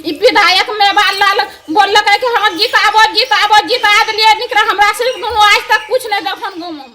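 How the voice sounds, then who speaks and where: A woman's voice: extremely high pitch, fairly even intonation, normal speed, bright, forceful tone, a little hiss or hum. A village woman with a Bihar accent complaining in a street interview. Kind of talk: complaining vox pop.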